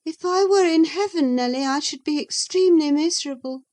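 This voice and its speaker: A woman's voice: high voice, brisk, a little mellow, voice pushed up, close to an everyday voice. A woman voicing a headstrong, impassioned young woman. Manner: impassioned, emphatic. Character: passionate headstrong young woman, dreamy and willful. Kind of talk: audiobook character reading.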